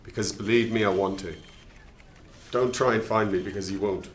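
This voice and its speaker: A man's voice: lowish voice, fairly even intonation, normal speed, echoey room, slight background noise, natural voice. A man playing a bitter rogue intelligence killer in a radio drama, commanding and threatening. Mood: cold menace.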